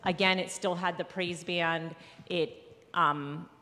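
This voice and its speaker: Woman, lowish voice, rambling church announcement, reverberant sanctuary. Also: steady tone, slow pace, bright, forceful tone, a little hiss or hum. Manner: rambling, self-correcting.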